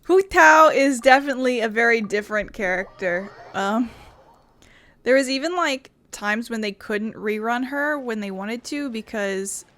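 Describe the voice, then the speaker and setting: A woman giving casual, informal let's play commentary and reading game dialogue aloud, a little halting when she explains. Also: a little high, a bit bright. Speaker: gaming YouTuber. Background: faint game music.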